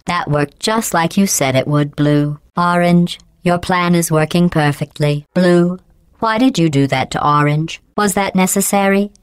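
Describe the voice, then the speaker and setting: Brief: cold sarcastic female AI, low-ish synthetic game voice, smooth and dry, deadpan mockery. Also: dry recording. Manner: deadpan mocking, clipped and measured. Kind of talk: video game voice clips.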